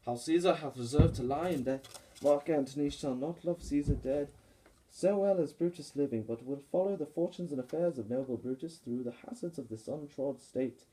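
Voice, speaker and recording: A man's voice: a little high, moderate pace, mellow, close to an everyday voice, rough recording. Man playing dutiful Roman messenger. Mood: deferential. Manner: formal, deferential. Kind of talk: puppet-show Shakespeare, theatrical verse.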